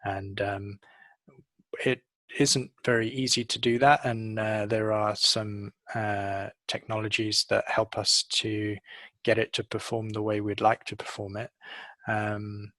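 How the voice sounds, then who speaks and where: Male engineer, middle-aged, low and flat delivery, halting technical interview answers, close headset mic. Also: dry recording. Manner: hesitant, measured, pausing often. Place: office showroom, on video call.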